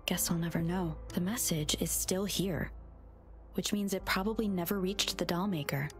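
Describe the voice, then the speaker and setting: A thoughtful young female wanderer , acted by a woman puzzling things out in a video game. Her voice sits a little low and hushed, inquisitive and musing, over clear background music. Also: moderate pace, lightly acted.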